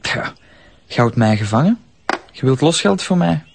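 A male actor with a Flemish accent playing a wary, frank captive boy in an audio drama, with a husky, creaky voice and a hesitant delivery. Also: fairly high pitch, sing-song, moderate pace, heavily acted. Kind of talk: naturalistic audio drama acting.

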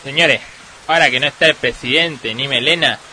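An actor with an Argentine accent playing a hapless, embarrassed football coach in a comic radio sketch. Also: male voice, mid-range voice, brisk, pushed and bright, slight background noise, rough recording. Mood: irritated.

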